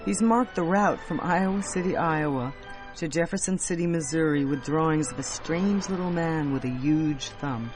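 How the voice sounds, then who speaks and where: An actor playing a wistful emigre recalling childhood, with earnest, measured storytelling in a radio drama monologue over music. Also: male voice, a little high, lightly acted. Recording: faint music under the voice.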